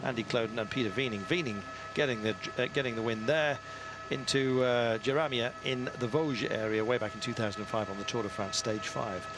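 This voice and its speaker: A man commentates live on a bike race in a conversational, enthusiastic way. His voice is mid-pitched, with hesitant pauses as he goes. Loud vehicle and outdoor noise runs behind him. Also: steady tone. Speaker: lead sports commentator.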